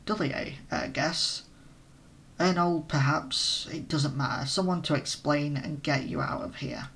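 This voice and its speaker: A man's voice: on the high side, a little slow, gravelly, a bit bright. A man voicing a curt, dismissive male companion in a game read-aloud.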